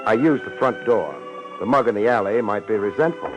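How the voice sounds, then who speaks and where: An actor playing a tough, weary private detective in a 1950s radio drama, American accent, terse wisecracking delivery. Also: male voice, lowish voice, animated, a little fast, lo-fi sound, over music, lightly acted. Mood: wry narration. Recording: noisy 1950s radio recording.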